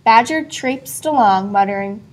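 High-voiced woman reading to a child. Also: measured pace. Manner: asks a child engaging questions. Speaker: storybook reader. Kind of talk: expressive children's read-aloud.

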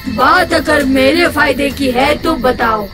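Slow, high-voiced cackling witch. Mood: menacing. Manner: slow, drawn-out, cackling delivery. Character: cackling, hungry female forest witch, menacing and gloating. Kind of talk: animated horror story acting. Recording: loud music behind. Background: music.

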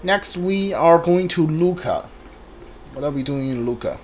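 High-voiced man muttering through a game playthrough. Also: lively intonation, slight background noise. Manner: halting, mutters to himself, reads guide and subtitles aloud. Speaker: video game streamer. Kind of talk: casual playthrough commentary, thinking aloud.

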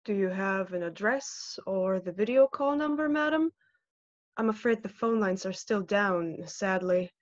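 A slow, measured female voice on dull call audio. It is a woman acting a formal switchboard operator in a play, speaking without any hurry. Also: medium pitch, lively intonation, close to an everyday voice. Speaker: actress.

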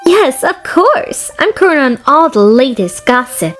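Woman playing a high-pitched, gushing young noblewoman. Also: big pitch swings, measured pace. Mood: excited, gushing. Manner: gushing, playful, theatrical. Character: vivacious, gossip-loving young noblewoman ruling a wealthy city. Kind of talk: fan dub voice acting of a fantasy story game. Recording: music behind the voice.

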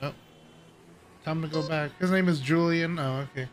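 A man's voice: a little high, moderate pace, slight background noise. A man live-streaming a game, chatting casually and welcoming his viewers, with the odd swear word thrown in. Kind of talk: casual livestream chat while gaming. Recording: loud game music behind. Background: rhythm game music with guitar.

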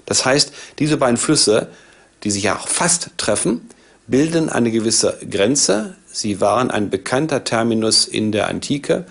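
A man's voice: medium pitch, expressive, a little slow, gravelly. A man in his sixties, an academic expert, explaining his view in an interview indoors. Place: indoor book-lined study.

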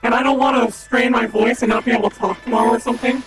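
A man with a strikingly high, slightly raspy voice who chats upbeat and casually to a live stream audience. He speaks into a desk mic. Music plays clearly underneath him. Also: fairly even intonation, racing. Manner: chatty, upbeat, talks to chat.

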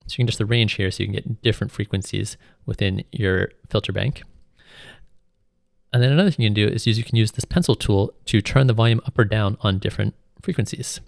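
A man's voice: fairly low pitch, fast talker, vocal fry, dry recording, clean studio sound. A man giving an informal, step-by-step tutorial voice-over as a music production instructor. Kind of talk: screen tutorial narration, explanatory.

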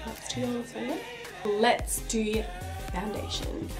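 Slow-talking young woman with a cold. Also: a little high, level tone, music in the background. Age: young adult. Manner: chatty, unhurried, trailing off mid-sentence. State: slightly stuffy from a cold. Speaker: beauty vlogger. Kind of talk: casual makeup review. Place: small indoor room, plain wall.

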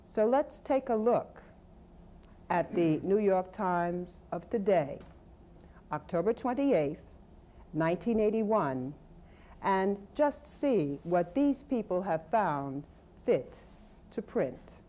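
Female narrator, rather low and very mellow. Scripted, measured, matter-of-fact read. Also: expressive.

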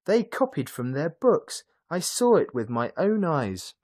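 A man acts out an angry, stubborn schoolboy in a storybook. The voice leaps high and cries out loudly in indignant protest, with a husky edge. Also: big pitch swings, measured pace, exaggerated voice. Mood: defiant. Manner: crying out angrily in protest.

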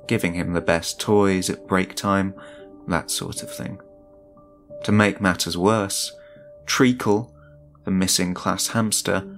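A man reading a scripted first-person horror story as its narrator, in the role of a sociopathic father. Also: lowish voice. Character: sociopathic father telling his own story.

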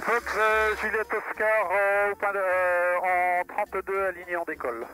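A man's voice: sits high, steady tone, moderate pace, faint music behind, poor audio. A middle-aged man piloting a small plane, making hesitant radio calls and chatting over an aviation headset. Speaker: private pilot on the radio and cockpit intercom. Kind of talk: cockpit chat and radio calls. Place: small propeller plane cabin in flight. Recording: loud engine noise, cockpit headset audio.

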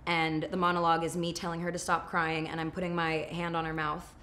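A young woman whose first language is Polish, raised in New York, chatting casually as an interview guest on a sofa in a small room, candid and quick to laugh. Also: deep voice, completely flat delivery, fairly bright tone, slight background noise. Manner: laughing, playful, candid storytelling. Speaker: guest actor.

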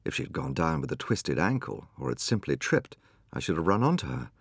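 A man with a low, gravelly voice reads a classic novel in the first person. His delivery is measured and dry, with a lively rise and fall. The sound is close and dead, with no room around it. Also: dry recording. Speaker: audiobook narrator. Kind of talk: scripted first-person narration.

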